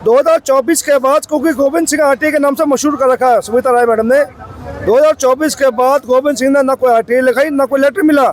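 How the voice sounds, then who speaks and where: Protest leader with a sore throat. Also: male voice, extremely high pitch, steady tone, quick pace, faint music behind. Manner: pleading, grievance-laden. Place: outdoor protest site by a street.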